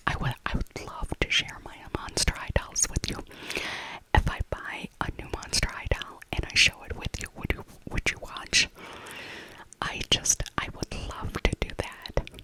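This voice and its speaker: Woman, breathy whisper, ASMR shopping voiceover, chatty, repeats phrases, addresses the listener. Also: rough recording. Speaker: ASMR creator.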